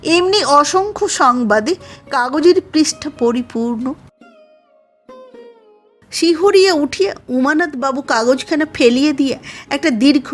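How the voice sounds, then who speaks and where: A woman with a high voice reads a literary story aloud. Her delivery is slow, formal and measured, as scripted narration, with faint music behind her. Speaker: audiobook narrator.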